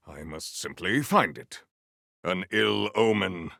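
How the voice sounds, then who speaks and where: A man playing a solemn, proud warrior hunter in a video game, speaking in formal, stilted, declamatory sentences with a gravelly low voice. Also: expressive, slow, sharp tone, no room sound, clean studio sound, heavily acted. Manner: formal, stilted, declamatory speech without contractions. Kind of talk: video game character acting.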